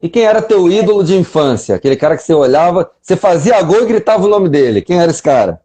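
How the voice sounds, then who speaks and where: A man's voice: high-pitched, lively intonation, fast talker, mellow. A man hosting a casual interview, talking in a slangy, joking, buddy-like way. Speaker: host.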